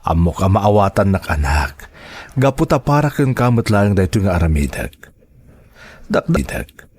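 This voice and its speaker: A man playing a devoted, gentle father and dutiful son in a radio drama, speaking earnestly and coaxingly. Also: low voice, big pitch swings, unhurried, slightly rough, dry recording, exaggerated voice. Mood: serious, pleading.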